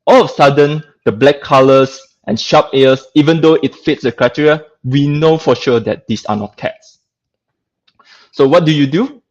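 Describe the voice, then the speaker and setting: A man's voice: fairly high pitch, moderate pace, darkish tone. Singaporean-accented man lecturing, dull lo-fi audio. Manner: conversational, peppered with "like" and checking "right?". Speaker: guest speaker, lecturer. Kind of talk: informal online technical lecture.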